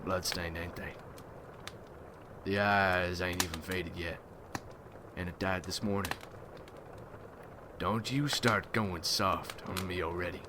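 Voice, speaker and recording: A man playing a gruff, hardened vampire hunter in an ASMR roleplay, speaking in folksy rustic slang as he mentors a newcomer. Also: deep voice, fairly even intonation, takes it slowly, long pauses, background noise. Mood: scornful.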